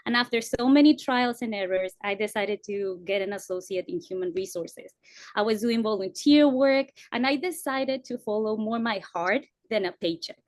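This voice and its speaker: A woman's voice: medium pitch, normal speed, dry recording. A woman telling her own study story in an earnest, reflective way. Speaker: former student guest. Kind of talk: personal story on a video call.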